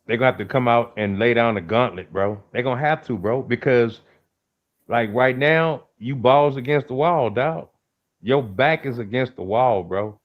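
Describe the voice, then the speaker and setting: A man's voice: medium pitch, normal speed. A man co-hosting a sports stream, emphatic and hyped, throwing in bro and dog constantly. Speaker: co-host on a sports panel. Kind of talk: casual sports talk. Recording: muffled, lo-fi line.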